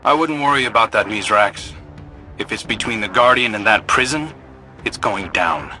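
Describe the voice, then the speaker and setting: Bright, forceful, earnest scout. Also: male voice, lowish voice, lively intonation, moderate pace, soft background music. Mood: assured. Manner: direct, conversational mission guidance. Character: earnest male scout agent, watchful and encouraging. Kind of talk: video game mission dialogue.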